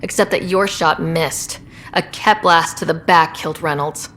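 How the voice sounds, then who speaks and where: An actress playing a brisk female expedition leader in a sci-fi game. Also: sits low, steady tone, a bit bright.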